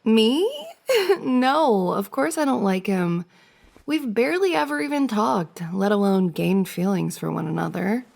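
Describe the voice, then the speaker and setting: A woman's voice: medium pitch, expressive, a little slow, creaky, darkish tone. A woman playing a friend's giggly, teasing older sister in an intimate, conversational ASMR roleplay. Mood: musing, teasing.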